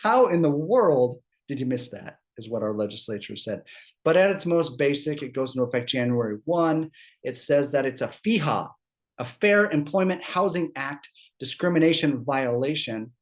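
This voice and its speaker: A man's voice: mid-range voice, a little mellow. A man with a scratchy throat from a cold, giving an HR law seminar in a chatty way, often checking in with "right?". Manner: conversational, quick asides, keeps asking "right?". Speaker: employment law presenter. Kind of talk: HR seminar presentation.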